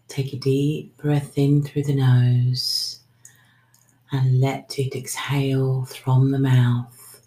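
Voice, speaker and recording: Very low, slow-speaking woman guiding breathwork. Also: mellow. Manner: soothing, unhurried, trailing into whispers. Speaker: breathwork meditation guide. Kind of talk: guided breathing meditation, spoken instructions. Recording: roomy, echoing sound.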